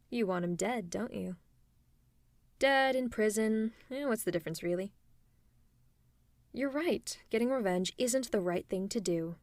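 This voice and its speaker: A woman's voice: medium pitch, no echo, natural voice. A woman playing a sly, sardonic supervillain with a caring streak in a roleplay audio drama, speaking in a teasing, conversational way. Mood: puzzled, indignant.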